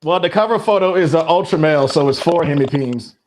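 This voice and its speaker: A man's voice: fairly high pitch, a little fast, a little mellow. A man in his late thirties co-hosting a podcast, speaking in a wry drawl as he jokes. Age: 30s. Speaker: podcast co-host. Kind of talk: casual banter. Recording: audible background noise.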